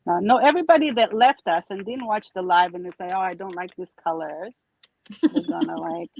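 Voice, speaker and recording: Woman, Israeli accent with New York traces, gravelly tone, chatty crafting demo host. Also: fairly low pitch, fairly quick, a little mellow, lo-fi sound. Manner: chatty, narrates her steps. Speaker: craft demonstrator. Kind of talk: live crafting demo chat.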